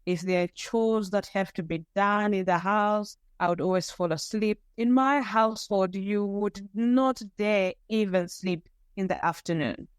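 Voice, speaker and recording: A woman from Zimbabwe, a podcast guest, telling her own story candidly, with pauses and restarts. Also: mid-range voice, clear, resonant tone, no room sound. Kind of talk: personal storytelling interview.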